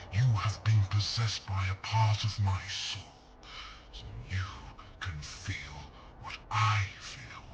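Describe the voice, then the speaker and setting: A man voicing an immortal brother turned dark lord, speaking in a hoarse whisper, slow, solemn and threatening, for a game mod. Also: on the low side, heavy background noise. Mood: menacing. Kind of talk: fan voice acting for a game mod. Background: loud music.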